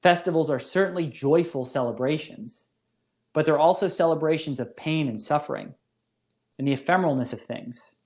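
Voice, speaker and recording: Measured male lecturer, formal and deliberate. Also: a little high, a little mellow. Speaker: lecturer, professor. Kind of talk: scripted philosophy lecture.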